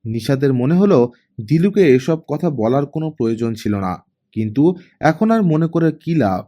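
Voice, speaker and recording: A man's voice: medium pitch, animated, moderate pace, warm tone. A man reading a work of fiction as an audiobook narrator, in a dramatic storytelling style.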